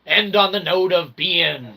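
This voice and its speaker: A man with a fairly high, gravelly voice holds forth to his viewers in a rambling philosophical monologue. His phrasing is wordy and mock-formal with archaic turns, punctuated by frequent check-in tags. Clear background noise sits behind the voice. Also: measured pace. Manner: constantly tags phrases with "okay?". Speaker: host of own channel, independent author and developer.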